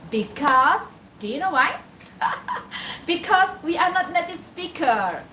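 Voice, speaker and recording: High, lively Indonesian woman asking and answering. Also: takes it slowly, echoey room, recorded from a distance, slight background noise. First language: Javanese. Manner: poses questions and answers them herself. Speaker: live-stream host advising employers on hiring helpers. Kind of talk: casual live-stream talk.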